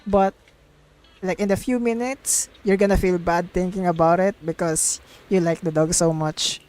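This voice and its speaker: A woman's voice: lowish voice, steady tone, normal speed, darkish tone, low-quality recording. A woman streaming a story game, casually reading the dialogue aloud and reacting with giggly, sweary exclamations. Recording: music playing behind the voice.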